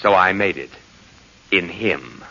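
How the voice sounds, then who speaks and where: An actor playing a smooth, menacing corrupt senator in a 1940s radio drama, speaking in a courtly, unhurried, wry way. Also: male voice, low voice, lively intonation, over music, full character voice. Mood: coolly amused. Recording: noisy muffled 1940s radio recording.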